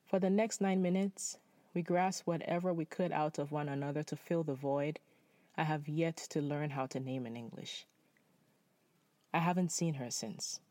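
A young man from Nepal telling a personal story in spoken-word style, measured and reflective. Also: a little high, darkish tone, no echo.

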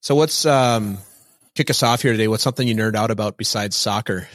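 A man's voice: fairly low pitch, brisk, no room sound, studio quality. A man hosting a podcast, introducing his guest and asking follow-up questions in an enthusiastic, chatty way. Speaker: podcast host. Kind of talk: podcast interview.

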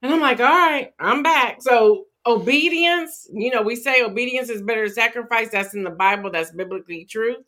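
A woman's voice: a little high, normal speed, few pauses. A woman guest, an author, sharing her faith story in conversation, acting out her own exchanges with God. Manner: animated storyteller, acts out her own prayers. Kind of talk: faith-based testimony in conversation.

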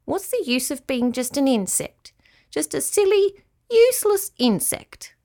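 A woman plays a tiny young boy cricket in a storybook, earnest and easily discouraged. The little voice sits very high and swings up and down with wishful, plaintive eagerness. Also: sing-song, a little slow, darkish tone, heavily acted. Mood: sulky, dejected. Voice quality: little, childlike voice. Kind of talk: children's storybook character voice.

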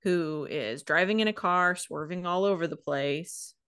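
A woman's voice: fairly low pitch, unhurried, a bit bright, clean tone, no echo, studio quality. A woman co-hosting a podcast, casually retelling and commenting with informal, wry asides. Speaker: podcast co-host.

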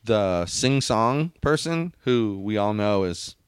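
Man, lower register, podcast co-host; emphatic, argumentative, dry close sound. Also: animated, slow, smooth, no echo. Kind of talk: casual opinionated chat.

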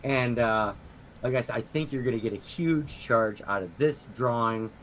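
Enthusiastic man, halting and profane. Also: medium pitch, fairly bright tone, a little hiss or hum. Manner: halting, enthusiastic, swears freely. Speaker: podcast guest, artist.

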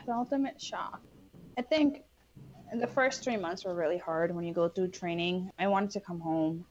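A woman's voice: on the low side, moderate pace, darkish tone, a little hiss or hum. A young woman, born in Bangladesh and raised in New York, answering interview questions in a chatty way with fillers and restarts. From Bangladesh, raised in New York City. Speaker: interview guest, Peace Corps volunteer. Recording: dull lo-fi sound.

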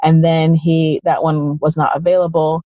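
A woman's voice: sits low, little pitch movement, moderate pace, no room sound. Woman, interview guest, rambling, casual fillers, dull dry recording. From Chile, raised in the United States. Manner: rambling, lots of "kinda" and "like", quotes her own thoughts.

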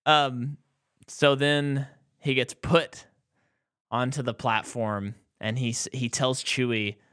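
A man's voice: medium pitch, level tone, slow pace, a bit bright, smooth. A man co-hosting a podcast, chatting casually about a movie and acting out quotes with animation. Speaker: podcast co-host.

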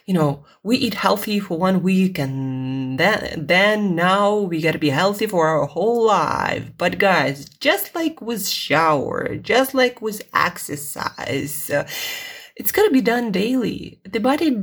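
Russian-accented woman host, low husky voice, chatty and repetitive. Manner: chatty, repeats phrases, frequent fillers. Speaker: health podcast host. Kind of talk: casual talk to listeners.

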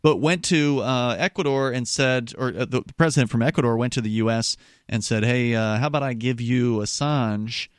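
Man, radio co-host, from the American South, emphatic, mid-pitched, dry studio sound. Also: clean tone, no echo. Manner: emphatic, quick to agree and interject. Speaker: radio talk show co-host. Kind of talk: casual talk radio chat. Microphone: studio mic, close.

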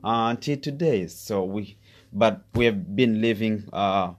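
A young man from Rwanda with a Rwandan accent, Kinyarwanda his first language, speaking as a podcast guest in a hesitant way, with many pauses and fillers. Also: on the low side. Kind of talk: casual interview chat.

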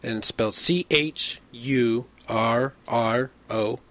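A man's voice: mid-range voice, slow, a bit bright. A man in his late twenties co-hosting a casual chat, laid-back and teasing. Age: 20s. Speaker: podcast co-host.

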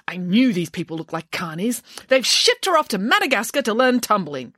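A woman's voice: medium pitch, big pitch swings, moderate pace, a bit bright, dry recording, studio quality, pitched above the performer's own voice. A woman playing a bossy, indignant female pig nanny in a children's story, speaking emphatically and theatrically. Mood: alarmed, outraged. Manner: emphatic, outspoken, exclamatory.

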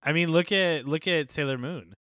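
A man's voice: a little high, moderate pace, a bit bright, clear, resonant tone, no echo, studio quality. A man co-hosting a podcast in casual chat, wry and teasing. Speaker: podcast co-host.

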